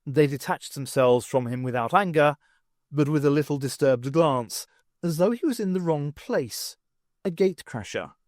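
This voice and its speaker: A man reading an audiobook aloud, narrating with an expressive, lively delivery. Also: fairly high pitch, moderate pace, no room sound, clean studio sound. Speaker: audiobook reader. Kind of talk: storybook narration.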